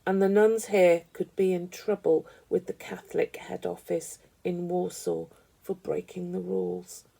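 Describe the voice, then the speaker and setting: A woman reading a children's story aloud with animated, dramatic emphasis. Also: fairly low pitch, a little slow, gravelly, rough recording. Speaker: storybook reader.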